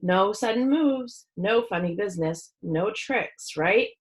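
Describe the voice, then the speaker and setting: A woman's voice: medium pitch, expressive, slow. A smug, menacing thief from a children's story, acted out by a woman reader. He is bossy and gives his orders firmly, as someone used to being obeyed.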